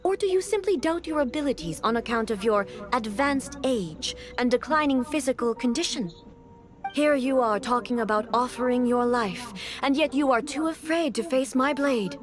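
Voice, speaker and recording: A woman's voice: sits high, expressive, normal speed, faint music behind. A woman voicing a stern, immortal female ruler in a video game, formal, commanding and coldly blunt. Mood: imperious, scornful. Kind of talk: dramatic video game acting.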